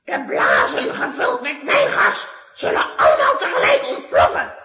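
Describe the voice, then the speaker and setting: A woman's voice: a little high, wildly swooping pitch, unhurried, sharp tone, heavily acted. An actress playing a furious, vengeful witch in a children's audio play, cackling and menacing in a theatrical way. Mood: vengeful, gloating. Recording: echoey, long reverb.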